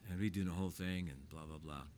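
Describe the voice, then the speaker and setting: An older man telling his life story in a casual chat, rambling through animated anecdotes with plenty of swearing. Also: deep voice, quick pace, slight background noise.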